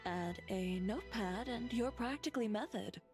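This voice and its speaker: A woman voicing a cool young woman with a deadpan, sardonic, teasing delivery in an anime game. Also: mid-range voice, moderate pace, close to an everyday voice. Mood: wry. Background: music.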